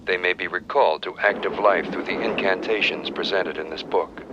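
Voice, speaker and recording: An actor playing a grave, scholarly archaeologist haunted by demons, speaking solemnly in a horror film's recorded journal. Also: male voice, deep voice, steady tone, dull audio, natural voice. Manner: solemn, measured, formal scholarly diction. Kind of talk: scripted horror film recorded-journal narration. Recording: noisy old reel-to-reel tape playback.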